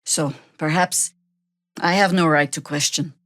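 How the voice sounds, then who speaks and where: An actress playing a seasoned older female druid warrior in a fantasy video game, measured, wry and commanding. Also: low-pitched, fairly even intonation, a bit bright, no room sound, clean studio sound. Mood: grave, measured.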